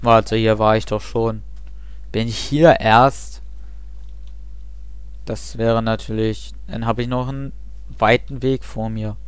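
A man's voice: lowish voice, steady tone, slow pace, pauses often, clean tone, no echo. A young man chatting casually and rambling with self-mocking asides while he plays a game. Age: young adult. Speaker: let's play host. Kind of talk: casual gameplay commentary.

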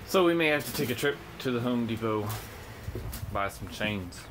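A man's voice: medium pitch, animated, moderate pace, background noise. A young man casually vlogging, grumbling to the camera while searching a cluttered shed and heading out in the rain, filmed at arm's length. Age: young adult. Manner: laid-back, grumbling asides to camera. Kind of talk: casual vlog chat. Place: cluttered storage shed, then outdoors in rain. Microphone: handheld camera at arm's length. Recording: loud background music.

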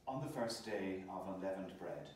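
Man, fairly low voice, plain Gospel narrator, heard a little way off from the microphone. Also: normal speed, far from the mic, a little hiss or hum. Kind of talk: scripted scripture narration. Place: small hospital chapel. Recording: quiet, set back from the mic.

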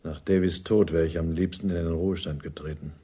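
An actor playing a weary, gruffly reserved middle-aged security officer in a 1980s radio play, terse and formal. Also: male voice, sits low, fairly even intonation, brisk, dark tone.

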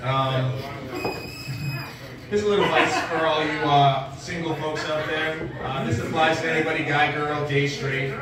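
Deadpan comic in an echoey bar. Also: male voice, sits high. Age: 40s. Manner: deadpan one-liners with frequent filler pauses. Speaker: stand-up comedian. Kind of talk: stand-up set at an open mic. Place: small dim bar stage with a live audience. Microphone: handheld mic, filmed from far back in the room. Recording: echoey bar room heard from far off. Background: audience laughter and bar crowd.

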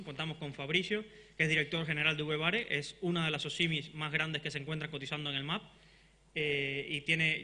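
A man in his thirties with a Cuban Spanish accent, introducing a conference panel from a lectern with brisk speech and frequent fillers. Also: a little high, pushed and bright. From Cuba. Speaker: event host introducing a panel. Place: conference hall, standing at a lectern. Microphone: lectern microphone.

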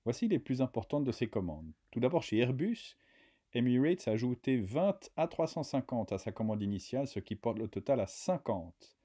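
A man reading a scripted news voice-over in a measured way. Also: medium pitch, sing-song, warm tone, husky. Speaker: narrator.

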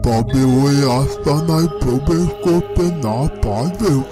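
Knowing fairytale protector, slow higher-pitched male voice, measured melodramatic explaining over music. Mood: warning. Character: calm, knowing male protector of a magical hideout. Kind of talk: melodramatic Bengali fairytale cartoon acting.

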